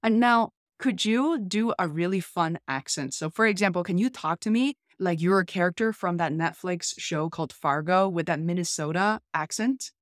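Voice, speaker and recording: A woman's voice: fairly low pitch, moderate pace, hardly any pauses, no echo. Chatty woman host, dry close sound. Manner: chatty, thinking aloud. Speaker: host demonstrating an app. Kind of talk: casual chat to camera and phone. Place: small home room, indoors.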